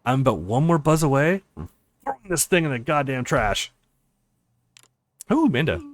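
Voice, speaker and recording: A man's voice: medium pitch, very animated, normal speed, no room sound. A man casually playing through a visual novel, reading dialogue aloud and reacting readily with exclamations. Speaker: let's play streamer.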